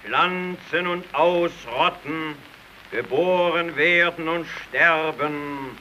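A man's voice: on the high side, slow, sharp tone, rough recording. An actor playing a solemn man intoning verses on life and death in the declamatory style of old radio drama, on a hissy archival recording.

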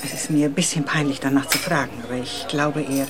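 A woman's voice: low-pitched, fairly even intonation, fast talker, lightly acted. A woman playing a shy teacher. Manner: hesitant, slightly embarrassed, laughing. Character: warm Italian woman teacher asking a favor. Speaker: Italian teacher. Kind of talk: radio drama acting.

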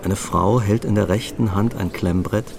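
A man's voice: fairly low pitch, steady tone, normal speed, vocal fry, darkish tone, a little hiss or hum. A man reading scripted story narration for a German radio play.

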